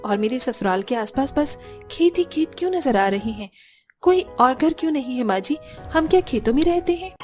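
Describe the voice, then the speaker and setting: A woman's voice: high-pitched, lively intonation, normal speed, darkish tone, soft background music. A woman voicing a poor, earnest young daughter-in-law in a cartoon family story. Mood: puzzled. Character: young poor daughter-in-law, anxious, sensible and plain-spoken.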